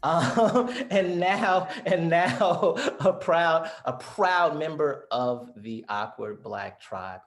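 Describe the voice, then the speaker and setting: A man's voice: a little high, expressive, extremely slow, few pauses. A man hosting a podcast interview over a video call, playful and emphatic, joking easily with his guest. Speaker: podcast host. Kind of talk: casual interview chat.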